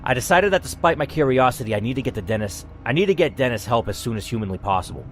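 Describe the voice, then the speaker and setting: A man's voice: medium pitch, expressive, quick pace, slight background noise. A man reading a first-person game story aloud as a dramatic narrator. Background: music.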